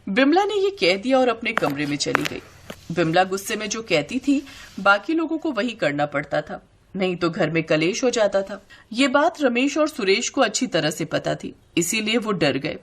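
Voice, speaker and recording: A woman's voice: mid-range voice, very animated, moderate pace, slight background noise. A woman delivering scripted story narration as the storyteller.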